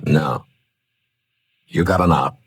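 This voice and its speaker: An actor playing a tough, unflinching Old West marshal in a 1950s radio western, with a dry, blunt, sardonic manner. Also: male voice, rumbling low, slow, slightly rough, dry recording, exaggerated voice. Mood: dry, firm.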